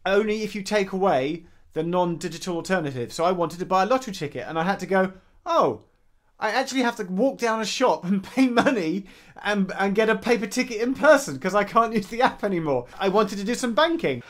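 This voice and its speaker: A man in his thirties co-hosts a relaxed podcast. His voice sits a little high, and he tells anecdotes lively, voicing his own past thoughts. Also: brisk. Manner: animated storyteller, acts out his own thoughts. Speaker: podcast co-host. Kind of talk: casual chat.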